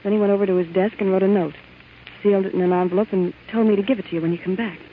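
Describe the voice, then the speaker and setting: A woman playing a devoted, anxious young bride-to-be, soft-spoken and questioning, in a vintage radio crime drama on a muffled recording. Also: mid-range voice, steady tone, rapid-fire, a little hiss or hum, lightly acted. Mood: worried.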